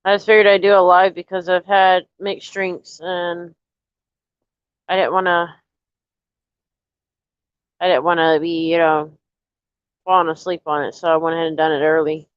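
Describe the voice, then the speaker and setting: A woman with a lowish voice rambles easily through a casual live stream, greeting viewers as she goes. She sounds a bit stuffy and nasal from allergies. The audio is dull and dry, like a lo-fi home stream. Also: moderate pace, pauses often, smooth, no echo. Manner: rambling, relaxed, talks straight to chat. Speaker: livestreamer chatting with viewers.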